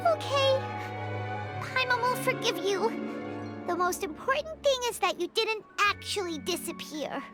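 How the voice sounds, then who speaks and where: A tiny, excitable fairy sidekick from a video game. The voice is extremely high, squeaky and childlike, swinging emotionally up and down, with prominent music behind it. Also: female voice, takes it slowly, long pauses, full character voice. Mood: upset. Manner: refers to self in third person, emotional. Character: small, excitable, childlike floating fairy companion, female. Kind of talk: animated video game voice acting. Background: background music.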